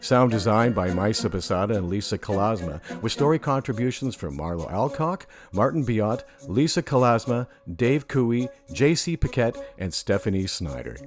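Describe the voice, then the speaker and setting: A man's voice: fairly low pitch, expressive, moderate pace, few pauses. A man announcing the end credits of an audio drama in a scripted narration style. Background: music.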